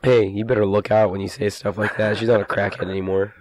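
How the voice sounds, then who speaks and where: A man co-hosting a casual gaming podcast, hoarse and stuffy from a cold, wry and self-mocking. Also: lowish voice, little pitch movement, quick pace. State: hoarse, losing his voice from a cold. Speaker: podcast co-host.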